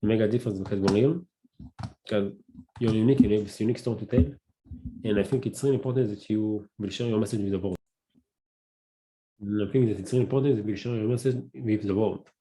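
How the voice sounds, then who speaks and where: A man with a Hebrew accent, a native Hebrew speaker, hosting a casual solo podcast and talking haltingly, often searching for words and restarting. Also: fairly low pitch, mellow, poor audio. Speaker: podcast host.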